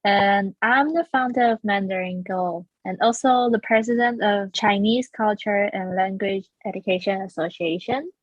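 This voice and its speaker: A woman's voice: mid-range voice, moderate pace, hardly any pauses, dry recording, highs cut off. A woman from Taiwan, a school founder being interviewed, talking earnestly with frequent restarts. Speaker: guest, founder of a language school. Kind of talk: casual interview.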